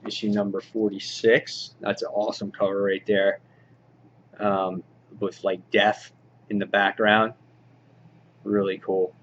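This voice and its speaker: A man giving an informal, halting show-and-tell commentary as a hobby vlog host, in an understated way. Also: fairly low pitch, little pitch movement, a bit bright. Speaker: vlog host showing a comic book collection. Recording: dull lo-fi audio, clear background noise.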